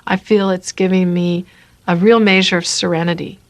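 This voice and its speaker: A woman's voice: fairly low pitch, steady tone, normal speed. A woman, a nurse, talking reflectively about herself in an interview.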